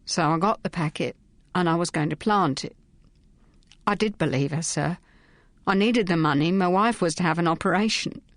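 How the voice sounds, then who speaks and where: A woman voicing a frightened young male constable who speaks in a halting, pleading way. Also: fairly low pitch, no room sound, close to an everyday voice.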